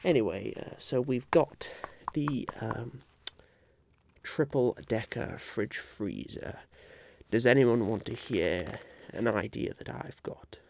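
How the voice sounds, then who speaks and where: A man playing a polite, harried would-be businessman chairing a meeting in a soft-spoken, halting ASMR roleplay. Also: mid-range voice, vocal fry, a little hiss or hum. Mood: flustered, polite. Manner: hesitant, halting, politely patient.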